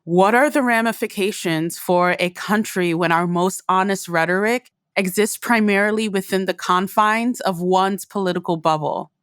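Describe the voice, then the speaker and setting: A woman's voice: mid-range voice, normal speed, a bit bright, clear, resonant tone, dry recording, clean studio sound. A woman hosting and narrating from a script, introducing recorded conversations. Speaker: host and narrator.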